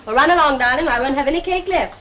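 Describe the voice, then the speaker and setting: A woman's voice: high voice, fast talker, bright, forceful tone. A woman playing an eager, agreeable housewife in a 1930s British instructional film, with genteel Received Pronunciation and a polite, chatty manner. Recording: noisy, worn 1930s film soundtrack.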